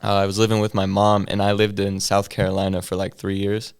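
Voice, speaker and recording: Young male rapper guest: very low, flat, gravelly voice, relaxed slangy delivery. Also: fairly quick. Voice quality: deep bassy voice that he says sounds mumbled. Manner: laid-back slang-heavy storytelling with many fillers. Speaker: hip hop artist guest. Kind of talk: casual interview chat.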